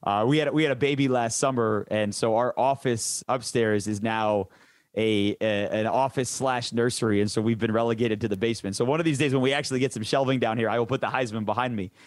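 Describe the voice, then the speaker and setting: A man's voice: medium pitch, brisk, no room sound. An American man from the Detroit suburbs, a sports announcer telling stories casually in a joking, self-deprecating way. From suburban Detroit, Michigan, United States. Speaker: sports play-by-play announcer, guest. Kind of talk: casual storytelling chat.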